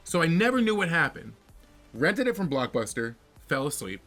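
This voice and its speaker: A man's voice: on the high side, sing-song, moderate pace, a bit bright, soft background music. A young man co-hosting a podcast, rambling in casual, jokingly exaggerated comic banter about films and games. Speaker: podcast co-host.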